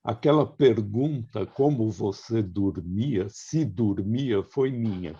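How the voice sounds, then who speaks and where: Slow, mellow Brazilian man, dull audio. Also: on the low side, slightly rough. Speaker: participant asking questions. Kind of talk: casual group discussion.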